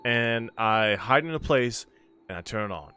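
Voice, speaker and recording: A young adult man speaking casually and excitably as a gaming video host, swearing at times, close to a desk mic. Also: fairly low pitch, normal speed, background noise. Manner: animated, swearing, prone to yelling. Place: small furnished room at home. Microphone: close desk mic on boom arm, with headset. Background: music.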